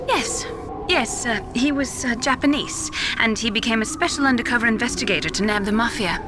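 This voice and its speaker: Woman acting a composed, high-voiced game scientist. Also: brisk, background noise, natural voice. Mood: hesitant, evasive. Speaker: video game voice actor. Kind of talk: video game radio dialogue. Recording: game audio with music behind.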